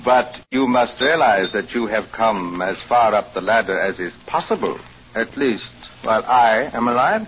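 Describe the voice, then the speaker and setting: Man acting as domineering hotel manager, low voice, pompous, formal, condescending, veiled menace, radio drama, dull recording with faint noise. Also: lively intonation, natural voice. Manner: slow, formal, condescending, deliberate.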